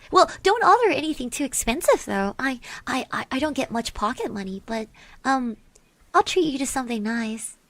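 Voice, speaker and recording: A woman playing a shy, sweet schoolgirl classmate in an ASMR roleplay, speaking softly and hesitantly to the listener. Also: sits high, very animated, moderate pace, dry recording, heavily acted. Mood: bashful, friendly. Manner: hesitant, stammering, often dropping to soft murmurs and whispers.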